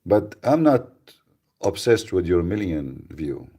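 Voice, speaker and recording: A man hosts live radio talk in a blunt, emphatic way, often repeating himself and restarting sentences. His voice sits very low and has a gravelly, husky edge. The tone is dark and mellow. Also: very animated, takes it slowly. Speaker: radio show host.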